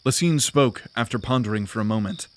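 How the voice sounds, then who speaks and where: Male narrator for a fantasy audio drama, steady and even. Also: fairly low pitch, a little fast, slight background noise.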